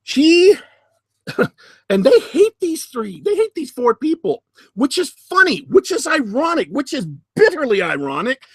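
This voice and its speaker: A man hosting a podcast, giving solo commentary in a deliberate, emphatic way with sardonic asides. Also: very high-pitched, big pitch swings, no room sound. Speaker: podcast host.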